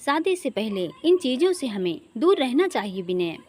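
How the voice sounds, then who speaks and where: A woman's voice: fairly high pitch, sing-song, normal speed, darkish tone. A woman voicing a shy yet eager young bride-to-be who is polite and proper. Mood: scolding.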